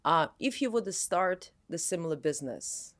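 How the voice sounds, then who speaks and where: A woman's voice: on the low side, sing-song, normal speed, fairly bright tone. A woman hosting a casual, conversational podcast interview.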